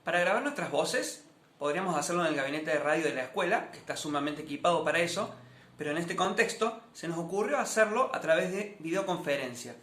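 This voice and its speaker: A man explains as a teacher presenting to students. His voice is on the high side, recorded in an echoey room. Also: lively intonation, fast, a bit bright. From Argentina. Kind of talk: instructional presentation.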